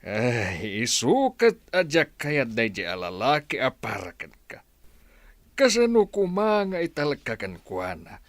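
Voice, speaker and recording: A man's voice: mid-range voice, wildly swooping pitch, dry recording. An actor playing a doting, hesitant elderly father in a radio drama, hemming and murmuring as he talks. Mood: concerned, questioning. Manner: halting, full of hems and murmurs.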